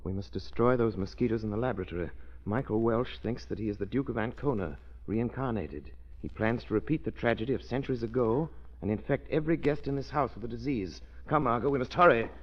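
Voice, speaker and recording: An actor playing a resolute gentleman sleuth in a 1930s radio drama, giving urgent, clipped orders. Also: medium pitch, fast talker, close to an everyday voice. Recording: dull old radio recording.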